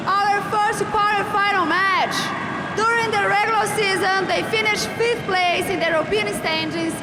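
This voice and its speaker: High-voiced woman announcing over a roaring crowd. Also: heavy background noise. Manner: loud, drawn-out hype announcements. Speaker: stage announcer. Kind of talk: arena announcing.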